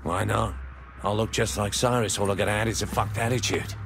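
Gravelly, tough gang boss. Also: male voice, lowish voice, steady tone, brisk, fairly bright tone, soft background music. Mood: brazen. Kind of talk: video game voice acting.

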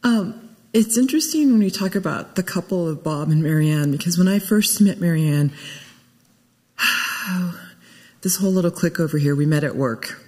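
Husky middle-aged lady chatting through a memorial tribute, big echoey church sound. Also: lowish voice, normal speed, pauses often. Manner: conversational, animated storytelling, often chuckling. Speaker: eulogy speaker. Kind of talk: informal personal memorial tribute. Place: church sanctuary, indoors. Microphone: gooseneck lectern microphone. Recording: reverberant hall.

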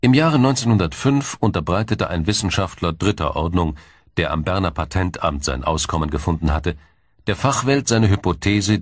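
Low-voiced male documentary narrator. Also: dry recording, studio quality. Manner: measured, explanatory, formal delivery.

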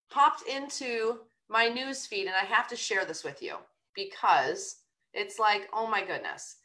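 A middle-aged woman hosting a live show, chatting casually and rambling in an upbeat way, her voice raspy from a cold. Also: medium pitch, sharp tone. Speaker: podcast host. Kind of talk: casual live-stream chat.

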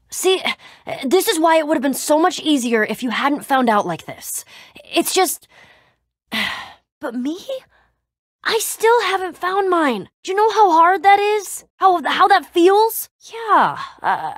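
A woman's voice: very high-pitched, very animated, takes it slowly, no echo, clean studio sound, exaggerated voice. A woman playing a tough, snarky but loyal young female adventurer in a video game, with casual, slangy delivery. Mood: troubled, earnest. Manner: casual slangy delivery with sighs and sarcastic asides.

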